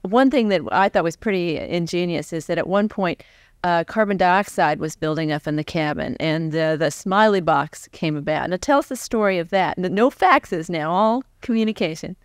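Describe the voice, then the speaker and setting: A woman's voice: lowish voice, normal speed, clean tone, no room sound, studio quality. An American woman in her forties interviewing conversationally, reworking her questions as she goes. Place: seated on a stage before a live audience. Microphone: large microphone on stand close to mouth.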